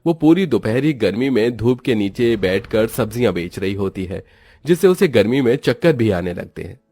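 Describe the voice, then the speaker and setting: A man's voice: lowish voice, expressive, normal speed, dark tone, slightly rough. A man narrating a scripted cartoon story as its storyteller.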